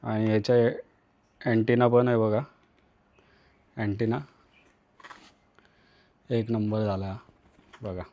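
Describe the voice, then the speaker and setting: A man's voice: lowish voice, fairly even intonation, slow, pauses often, warm tone, lo-fi sound. A man with an Indian accent from Maharashtra gives a casual hands-on tutorial, talking to viewers in short, repeated instructional phrases. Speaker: vlogger, tutorial host.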